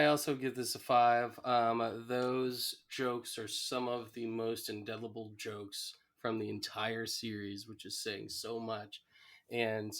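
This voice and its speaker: A man's voice: on the low side, a bit bright. A man co-hosting a podcast, talking casually in an earnest, reflective way. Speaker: podcast co-host.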